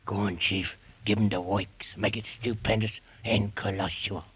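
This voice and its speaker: A man acting a boisterous, wisecracking old horse sidekick with a gravelly voice in a 1930s comic radio play for children. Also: fairly low pitch, level tone, measured pace, fairly bright tone. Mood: egging on. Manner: brash, vaudeville catchphrases and exclamations. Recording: muffled, very noisy 1930s radio recording.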